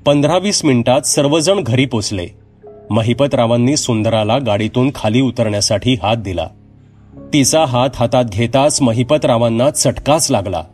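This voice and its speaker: Guy narrating a scary story, medium pitched voice, music playing behind. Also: normal speed, darkish tone. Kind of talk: scripted horror story narration. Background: background music.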